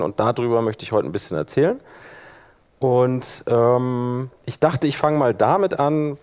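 A man's voice: mid-range voice, darkish tone. Casual man presenting a live workshop. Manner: casual, thinking aloud while demonstrating. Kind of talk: informal live software tutorial. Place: live talk in a conference room.

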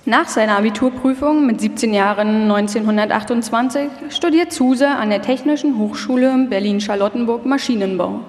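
A woman's voice: fairly high pitch, a little mellow. A woman reading scripted documentary narration live, as a narrator in a radio feature. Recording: reverberant hall.

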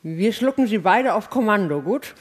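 A woman's voice: medium pitch, animated, normal speed, lightly acted. An actress playing a distrustful, rule-abiding female astronaut who speaks curtly and reproachfully in a sci-fi radio play. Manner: curt, reproachful. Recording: long hall reverb.

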